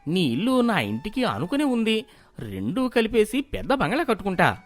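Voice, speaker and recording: A man voicing an excitable, gullible village merchant in a cartoon moral story, exclaiming in an exaggerated way. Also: high voice, normal speed. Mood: eager, delighted.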